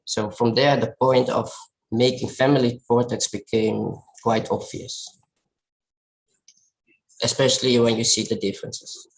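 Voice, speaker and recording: A man from the Netherlands with a Dutch accent, a native Dutch speaker, giving an informal, reflective talk as a photographer, often halting to search for words. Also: medium pitch, flat delivery, low-quality recording. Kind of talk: informal presentation of personal work.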